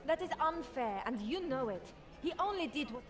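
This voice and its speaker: High-voiced, defiant young heroine. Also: female voice, expressive, moderate pace. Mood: reproachful. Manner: indignant, firm. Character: defiant, headstrong young daughter of spymaster. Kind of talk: video game voice acting. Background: music.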